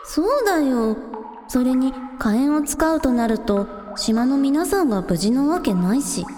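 A woman's voice: high-pitched, big pitch swings, measured pace, mellow. A woman playing an earnest young village girl, a younger sister, in a radio drama. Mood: puzzled. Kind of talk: Japanese radio drama acting. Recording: echoey reverb, music underneath.

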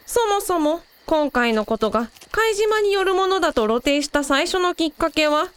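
Woman playing a high, lilting, affectionate mother. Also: normal speed. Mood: concerned. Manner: gentle, expressive, motherly.

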